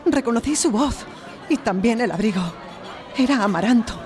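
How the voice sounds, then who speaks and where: A woman's voice: on the high side, animated, normal speed, a crowd in the background, natural voice. A woman playing an upset young shop assistant giving an earnest account in a live audio drama. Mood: anxious. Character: distressed young female shop assistant testifying, tearful but firm. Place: recorded live before an audience in a library. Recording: live stage recording, audience noise.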